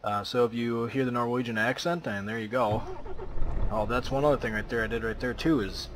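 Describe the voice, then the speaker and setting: A man's voice: mid-range voice, steady tone, fairly quick, background noise. A man from the American Midwest casually commentating as he plays a driving game, chatty and rambling with lots of fillers. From Minnesota, United States. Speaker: let's play host.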